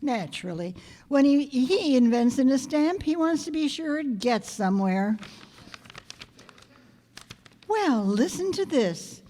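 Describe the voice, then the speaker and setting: A woman's voice: sits high, big pitch swings, normal speed, warm tone. Woman acting a wry schoolteacher in an echoing hall. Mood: dry amusement. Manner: dry, sardonic delivery. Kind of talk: old-time radio comedy acting. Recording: reverberant hall stage recording.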